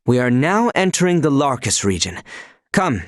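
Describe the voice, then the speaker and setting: A man's voice: medium pitch, sing-song, moderate pace, dry recording, studio quality, close to an everyday voice. A man voicing a dutiful, stern young attendant to royalty in a video game, speaking in formal, archaic phrasing. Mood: composed, earnest. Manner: formal, archaic, courtly diction. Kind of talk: video game character voice lines.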